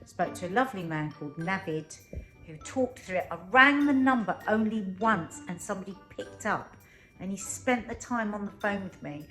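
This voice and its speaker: A woman's voice: mid-range voice, animated, normal speed, sharp tone, faint music behind, rough recording. A British woman vlogging in casual chat to camera, talking in an effusive, exclamatory way. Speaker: family vlogger.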